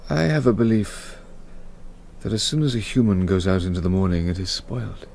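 A man playing a brusque, commanding English gentleman with a kind streak in a radio drama, with an English accent. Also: low voice, animated, fairly quick, vocal fry, slight background noise. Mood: wry.

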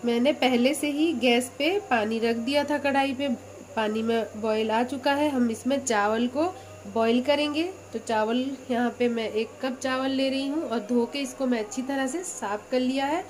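A woman with a high, light voice talks through a recipe at her stove, chatty and patient as she explains each step. She is recorded in a home kitchen on a phone or camera. A faint noise floor sits under her voice, with frying and stirring sounds in the background. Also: moderate pace. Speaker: cooking channel host. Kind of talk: step-by-step cooking instructions.

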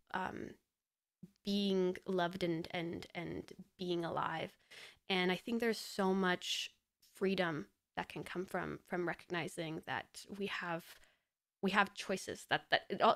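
A young woman from Poland speaks as a podcast guest over a video call. Her voice sits fairly low and has a gravelly, fried edge. She talks reflectively, circling back and restarting her thoughts into a boom-arm studio mic. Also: a bit bright. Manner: thoughtful, often restarts phrases. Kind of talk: reflective casual conversation. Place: indoor room, video call. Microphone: studio microphone on boom arm.

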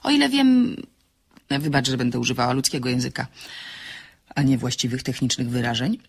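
A woman giving an expressive reading of a novel, acting out the dialogue, in a husky voice. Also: a bass voice, brisk.

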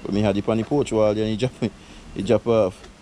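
Relaxed Vincentian man touring his garden. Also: fairly low pitch, brisk, slight background noise. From Saint Vincent and the Grenadines. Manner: relaxed, chatty, laughs often, creole phrasing. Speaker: vlogger giving a garden tour. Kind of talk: casual chat. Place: outdoor backyard garden.

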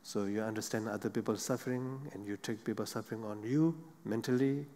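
Soft-spoken monk with halting English, hall reverb. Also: male voice, lowish voice. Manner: halting non-native English with many pauses and "you know". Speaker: Buddhist monk panelist. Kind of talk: unscripted panel talk. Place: seated on a large theater stage.

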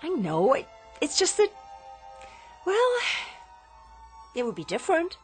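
An actress playing a restless, dissatisfied daughter in an audio drama, earnest and pointed. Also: female voice, high voice, huge pitch swings, measured pace, faint music behind, exaggerated voice. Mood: restless, pleading. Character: restless, dissatisfied grown daughter yearning for change.

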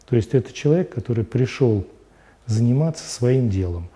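An older man recalling the past in an interview. His voice is very dark and mellow, his pace measured with small hesitant fillers. Lapel mic in a studio set. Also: mid-range voice, animated. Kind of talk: interview recollection.

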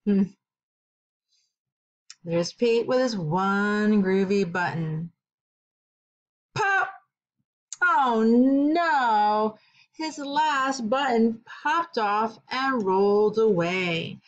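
Very slow-paced woman teaching small children. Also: fairly high pitch, lo-fi sound. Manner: deliberate, simple phrasing with repeated questions for young children. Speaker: kindergarten teacher. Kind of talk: children's read-aloud with counting lesson.

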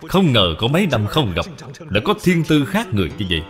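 A man's voice: mid-range voice, big pitch swings, a little fast, fairly bright tone. A man voicing a dignified, courteous middle-aged lord and father in a children's cartoon, speaking formally and with measure. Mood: genial. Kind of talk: dubbed children's moral cartoon. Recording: long hall reverb, music behind.

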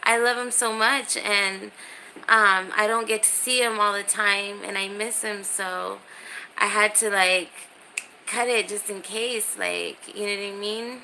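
A woman's voice: medium pitch, fairly even intonation, unhurried, creaky, bright, forceful tone, slight background noise, poor audio. A woman in her thirties chatting casually and informally as she hosts a livestream from a small room, holding her phone at arm's length. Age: 30s. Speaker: livestream host. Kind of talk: casual livestream chat, reading and answering comments.

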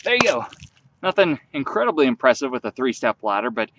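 A young man outdoors in a field, calmly walking through a hands-on tutorial step by step while he works with his hands. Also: mid-range voice, fairly quick, lo-fi sound. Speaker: bushcraft instructor.

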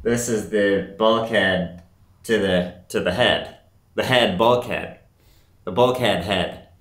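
A man's voice: on the low side, slow, echoey room. A young man, a boatbuilder, chatting in a casual, jokey way on camera from inside a wooden boat in a workshop. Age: young adult. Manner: easygoing, jokey, loose. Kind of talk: casual joking chat on camera.

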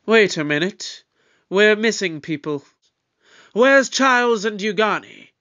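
Brisk, authoritative space detective in an audio drama. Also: mid-range voice, lively intonation, a bit bright, dull audio. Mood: exasperated.

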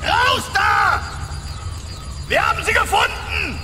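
An actor playing a brutal alien hunter barking orders in a radio drama. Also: male voice, very high voice, animated, takes it slowly, roomy sound, heavily acted. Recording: distant, buried in music and din. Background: loud music and crowd.

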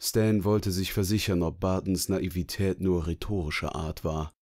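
A male narrator with a low-pitched voice reads a novel aloud. The delivery is scripted storytelling in the manner of an audiobook. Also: fairly even intonation, normal speed, a little mellow.

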